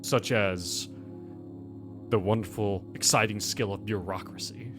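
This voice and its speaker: A man with a lowish voice narrates a tabletop roleplaying game as its game master. He talks informally and chattily, with music playing clearly behind him. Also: unhurried. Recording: background music under the voice.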